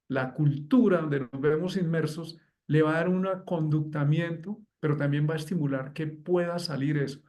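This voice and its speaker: A man's voice: fairly high pitch, dark tone. A man in a conversation who explains fluently, stringing examples together in flowing phrases. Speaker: guest astrologer.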